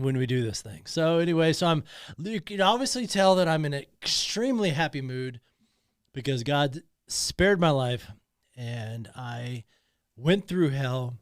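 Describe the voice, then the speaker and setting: A man's voice: fairly high pitch, clean tone, no echo. A man talking alone in a podcast studio, emotional and emphatic, often repeating himself. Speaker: podcast host. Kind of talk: personal monologue.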